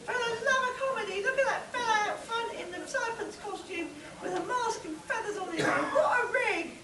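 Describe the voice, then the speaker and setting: A woman's voice: very high voice, moderate pace, a loud crowd. A woman playing a scrappy, pugnacious male chemist in a live spoof radio play. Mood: amused, excited. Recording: distant mic in echoey hall, audience noise.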